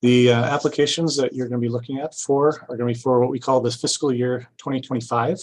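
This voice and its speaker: A man giving an informational presentation at a public meeting, explaining things step by step in a halting way. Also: medium pitch, fairly even intonation. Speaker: city staff presenter at a grant orientation.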